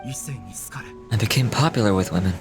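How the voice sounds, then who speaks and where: A man's voice: fairly low pitch, measured pace. A man voicing a bitter, self-justifying researcher in a video game, telling his story dramatically, like a scorned impostor. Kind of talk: scripted video game voice acting. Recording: music behind the voice.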